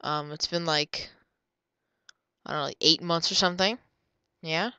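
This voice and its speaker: A young woman talks through her game at an easy, unhurried pace, her voice stuffed and nasal from a cold. The tone sits a little low but comes out bright and forward, rambling from one thought to the next. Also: fairly even intonation, clean tone, no room sound. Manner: chatty, rambling, drifts into murmurs. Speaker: gamer. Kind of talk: casual gameplay commentary.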